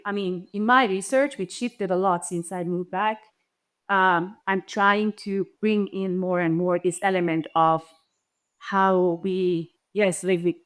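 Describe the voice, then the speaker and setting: Low-voiced reflective woman panelist from Vojvodina. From Vojvodina, Serbia. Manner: thoughtful, searching for words with fillers. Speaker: panelist and researcher. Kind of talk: reflective panel discussion answer.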